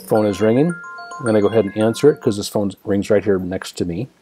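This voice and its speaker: An American man narrating a step-by-step software demonstration in a methodical, explanatory way. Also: lowish voice, fast talker, faint music behind. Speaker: software tutorial presenter.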